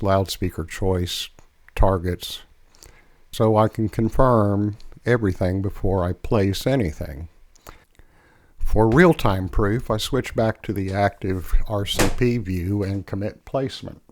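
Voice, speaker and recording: A man presenting a software demo as scripted tutorial narration, in a measured, explanatory way on a close mic. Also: on the low side, dry recording.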